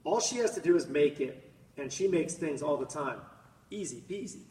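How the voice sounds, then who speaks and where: A man reading a children's picture book aloud in an expressive, dramatic manner, drawing out words and swelling with emphasis. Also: fairly high pitch, moderate pace. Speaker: storybook reader. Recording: echoey room, distant mic, faint noise.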